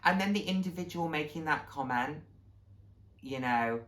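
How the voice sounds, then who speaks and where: A man's voice: on the high side, normal speed, fairly bright tone. Male vlogger, late 20s; heartfelt, encouraging, informal delivery with a reflective streak. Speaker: vlog host. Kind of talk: casual heartfelt talk to viewers.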